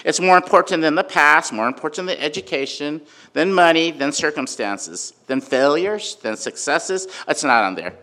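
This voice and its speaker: A man giving an informal sermon with a personal story, talking conversationally and loudly, with many fillers and frequent "right?" check-ins. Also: on the high side, expressive, normal speed. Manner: conversational, filler-heavy, asks "right?" often; says he has a really loud voice. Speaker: preacher.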